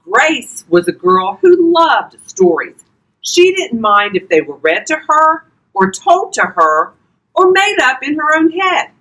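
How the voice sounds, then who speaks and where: An older woman reading a children's storybook aloud expressively, talking directly to young listeners in a husky voice. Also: high voice, big pitch swings, slow pace, a bit bright, poor audio. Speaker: volunteer grandparent reading to children.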